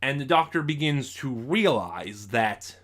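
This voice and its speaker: Male reviewer, medium pitch, informal recap delivery, halting and digressive with frequent self-corrections. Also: big pitch swings, a bit bright. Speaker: audio drama reviewer. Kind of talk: casual review with story recap.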